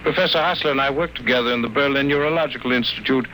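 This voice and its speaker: A sinister doctor, acted by a man with a very bright, sharp-edged voice, speaking calmly and silkily as he threatens. The old radio recording carries a faint hiss. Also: mid-range voice, very fast, lightly acted, low-quality recording. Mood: cold. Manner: calm, silky and coolly menacing. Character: cold, soothing sinister doctor. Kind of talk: 1940s radio acting.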